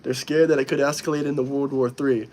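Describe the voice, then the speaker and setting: A man's voice: medium pitch, fairly even intonation, fairly quick, darkish tone. A young man hosting a live stream, casually and bluntly answering viewer comments while a little under the weather. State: slightly sick. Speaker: livestream host.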